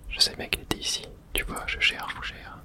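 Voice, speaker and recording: A woman's voice: fairly quick, bright, forceful tone. A woman whispering casual, slangy ASMR commentary as she plays a horror video game.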